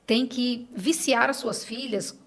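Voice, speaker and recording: Higher-pitched Brazilian woman, earnest and chatty. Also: fairly even intonation, slow pace, vocal fry, fairly bright tone. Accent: Brazilian Portuguese. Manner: earnest, repeats phrases for emphasis, tags with "né". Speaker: podcast guest. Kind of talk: casual, personal conversation with anecdotes.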